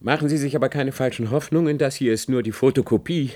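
A man's voice: medium pitch, quick pace, darkish tone, natural voice. A man playing a cornered, scheming middle-aged university professor in a German radio play, speaking in formal, dramatic phrases. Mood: slyly controlled. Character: cornered, scheming male university professor, pleading yet indignant.

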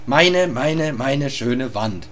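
A man doing a bad-tempered creature that swears and rants, slow and heavy with every word, the pitch leaping about as the anger boils over. It sounds like an amateur radio play. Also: lively intonation, fairly bright tone, a little hiss or hum. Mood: furious. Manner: ranting, swearing, exasperated. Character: grumpy male creature fussing over his wall.

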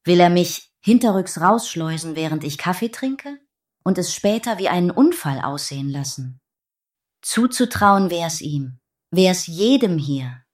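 A woman's voice: fairly low pitch, lively intonation, a little slow. A woman playing a distrustful spaceship crew member in a satirical radio play, wary and questioning. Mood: wary suspicion.

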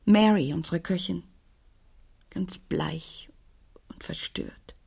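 An actress playing a troubled, guarded middle-aged widow in a radio crime drama, speaking in halting, dramatic phrases with a husky voice. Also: female voice, medium pitch, exaggerated voice. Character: troubled, guarded widow confiding in a young neighbour girl.

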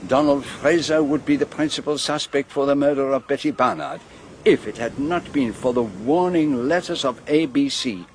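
An actor playing a courteous, methodical Belgian detective in a radio drama, with a French-speaking Belgian accent and formal, precise phrasing. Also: male voice, mid-range voice, normal speed, a little hiss or hum, close to an everyday voice. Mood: thoughtful.

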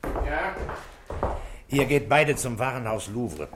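An actor playing a middle-aged, gruff and authoritative police inspector in a 1960s German radio play, speaking briskly and commandingly. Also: male voice, medium pitch, animated, fairly bright tone, slight background noise. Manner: brisk, commanding, matter-of-fact.

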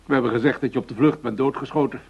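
Sober police official in a radio play, husky mid voice, serious, measured, muffled audio. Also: male voice, mid-range voice. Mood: sober, warning. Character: matter-of-fact male police official, sober and cautioning. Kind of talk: radio drama acting. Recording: dull lo-fi radio drama recording.

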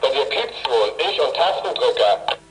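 A man's voice: mid-range voice, very animated, moderate pace, noisy background. A young male actor playing a bored, blunt teenage radio hobbyist talking over CB radio in a children's audio drama. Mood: blunt, indignant.

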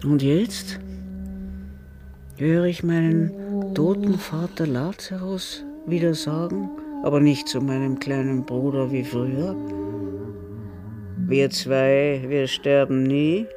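An actor playing an old dying man haunted by his dead family, brooding and halting, in a poetic radio drama. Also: fairly high pitch, music in the background.

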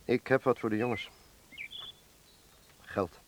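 An actor playing an earnest middle-aged factory director in a Dutch wartime radio drama, speaking in a measured, explanatory way. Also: male voice, mid-range voice, fairly even intonation, noisy background, close to an everyday voice. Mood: subdued. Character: earnest male factory director defending collaboration in wartime Netherlands.

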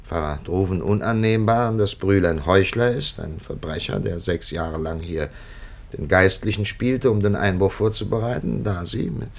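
A reflective churchman in an old radio play, his low voice gravelly and full of pauses. He weighs every word before letting it go. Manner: hesitant, reflective, halting with pauses. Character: hesitant, thoughtful male prelate, older churchman. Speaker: prelate. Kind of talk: 1950s radio drama acting.

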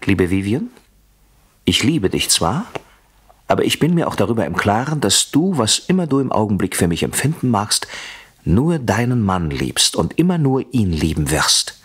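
A deep, husky, coldly polite avenger. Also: male voice, big pitch swings. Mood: sardonic. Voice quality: husky, often dropping to a whisper. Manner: mock-courteous, deliberate, menacing. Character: coldly polite, vengeful husband methodically staging a murder. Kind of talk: German radio play acting.

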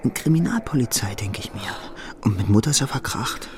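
An actor playing a meek, earnest young husband and shop clerk in a radio drama, with a subdued manner. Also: male voice, lowish voice, lively intonation, a little fast, close to an everyday voice. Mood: worried. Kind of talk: German radio drama acting.

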